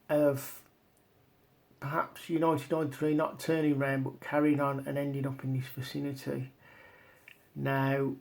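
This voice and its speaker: A man giving informal explanatory commentary as a video presenter, talking in a halting, step-by-step way. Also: medium pitch, vocal fry, darkish tone. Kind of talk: informal explanatory commentary over on-screen maps and documents.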